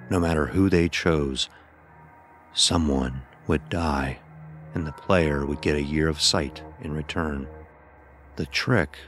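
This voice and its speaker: Casting: male actor as anguished youth narrating dark fantasy horror, extremely low voice, grave and deliberate, music underneath. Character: anguished blind youth recounting a deadly ordeal. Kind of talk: scripted first-person horror narration.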